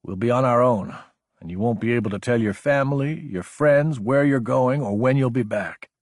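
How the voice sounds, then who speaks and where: A man voicing an earnest, steady military officer in an audiobook, speaking in a measured, serious way. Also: mid-range voice, dry recording, studio quality, close to an everyday voice. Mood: grave. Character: earnest, steady young military officer recruiting his friend.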